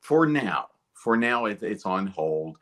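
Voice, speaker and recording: A man from Kentucky with an American accent, talking casually and thoughtfully as an interview guest in a measured way. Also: fairly low pitch, very animated, fairly bright tone.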